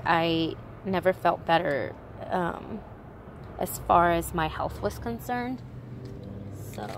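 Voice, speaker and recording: A woman with a congested, slightly nasal voice, chatting casually through a product haul with rambling asides and self-corrections. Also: mid-range voice. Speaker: haul vlogger. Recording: noisy background.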